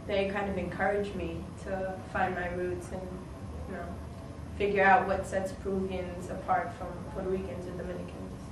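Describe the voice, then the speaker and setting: A young woman giving a casual, reflective interview answer in a hesitant way. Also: fairly low pitch. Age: young adult. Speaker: interviewee, college student. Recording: echoey, distant mic, noisy.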